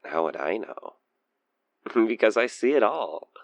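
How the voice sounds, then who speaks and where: Male actor as obsessed stalker killer for audio drama: low, theatrically animated, playfully menacing, infatuated, murmuring. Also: unhurried, vocal fry, no echo, exaggerated voice. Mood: taunting. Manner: theatrical, teasing, slipping between murmurs and whispers. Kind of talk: intimate audio roleplay monologue.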